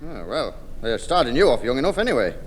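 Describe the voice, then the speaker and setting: A man's voice: medium pitch, sing-song, fast, natural voice. A man playing a blunt, sceptical house buyer in a 1960s radio sitcom. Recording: old radio broadcast, echoey, hissy.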